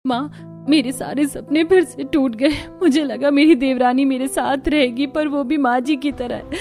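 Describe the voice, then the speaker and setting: A woman's voice: high voice, steady tone, normal speed, a little mellow, faint music behind. A woman voicing a meek, hurt young daughter-in-law in a scripted cartoon, plaintive and submissive.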